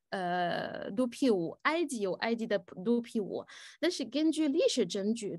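A woman fields audience questions, talking slowly and hesitantly with many pauses and fillers. Her voice sits a little high. The recording is close and dry with no room sound. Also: a little mellow, no echo. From Egypt. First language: Arabic. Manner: switches between Chinese and English. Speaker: guest speaker answering audience questions. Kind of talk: live Q&A at a student forum.

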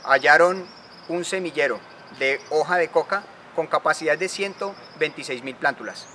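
A man giving a formal, deliberate report on military operations. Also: a little high, pushed and bright, a little hiss or hum. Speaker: army spokesman.

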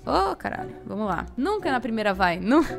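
A woman's voice: fairly high pitch, very animated, normal speed, creaky. A Brazilian woman talking casually to herself between recording takes, informal and self-critical, swearing freely. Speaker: voice actress recording lines. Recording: music behind the voice. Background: music.

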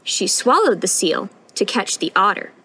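Animated young woman reading a children's story. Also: mid-range voice, moderate pace, a little hiss or hum, low-quality recording. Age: young adult. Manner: expressive, sing-song rhyming delivery with emphatic punchlines. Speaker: storytime reader, intern. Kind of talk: read-aloud children's picture book storytime. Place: outdoors on a wooden porch.

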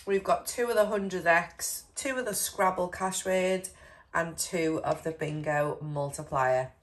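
A woman talks slowly and casually while she scratches cards. Her voice is a little low and sounds blocked up from a cold. She reads out numbers and letters one by one. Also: rough recording. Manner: slow, chatty, reading out numbers and letters. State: congested with a cold. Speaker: scratch card vlogger. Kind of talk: casual narration over scratch card video.

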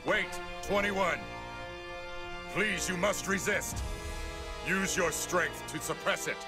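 An actor playing a big, grave and earnest male android with formal, measured speech in a game. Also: high-pitched. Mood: urgent pleading. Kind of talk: video game cutscene acting. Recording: loud music under dialogue.

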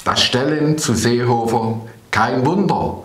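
A middle-aged man giving scripted political commentary to camera in a deliberate, halting way, stressing his phrases. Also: medium pitch, fairly bright tone. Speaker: politician presenting a party news show. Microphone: clip-on lapel mic. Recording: roomy, echoing studio sound.

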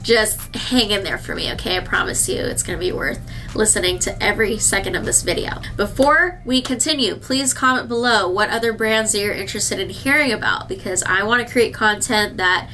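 A woman's voice: medium pitch, a little fast, bright, forceful tone, slight background noise. A woman in her thirties, a licensed esthetician, chatting to camera in a skincare review, a little nasal from a cold and speaking directly to her viewers. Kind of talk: casual product review to camera. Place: indoor skincare treatment room.

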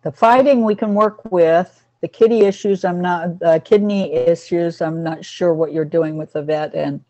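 A woman's voice: fairly low pitch, normal speed, dark tone, dry recording, dull audio. A woman teaching an informal online class about pets, talking in a reassuring, instructive way to the group. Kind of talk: casual group video-call class.

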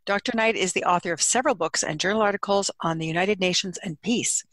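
A woman's voice: fairly low pitch, quick pace, fairly bright tone, dry recording. A woman hosting a leadership podcast, introducing her guest admiringly in a conversational way. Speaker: podcast host.

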